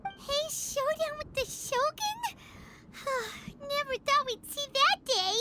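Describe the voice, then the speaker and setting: A woman voicing a tiny, excitable, childlike fairy companion in a video game, squeaky and dramatic. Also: slow, very noisy, exaggerated voice. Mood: alarmed. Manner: exclamatory, refers to self in third person. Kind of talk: animated video game voice acting. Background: game music.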